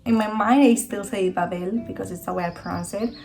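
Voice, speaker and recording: A woman's voice: medium pitch, fairly even intonation, a little fast, vocal fry, a little mellow, soft background music. A woman from Spain with a Spanish accent, talking casually and eagerly as a book vlogger, often correcting herself. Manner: chatty, enthusiastic, self-correcting. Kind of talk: casual book review talk.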